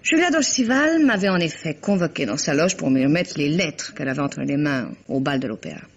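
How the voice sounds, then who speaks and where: A woman's voice: low-pitched, very animated, a little fast, creaky, husky, full character voice. An actress playing a proud, haughty society woman from Spain in an emphatic vintage French radio mystery drama. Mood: earnest, dramatic. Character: proud, theatrical Spanish society woman named Carmen, accused. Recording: dull old lo-fi radio recording.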